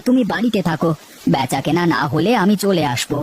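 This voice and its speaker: A woman's voice: on the low side, big pitch swings, brisk, darkish tone, a little hiss or hum. A woman playing a kind middle-aged village hotel keeper. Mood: gentle. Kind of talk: cartoon voice acting.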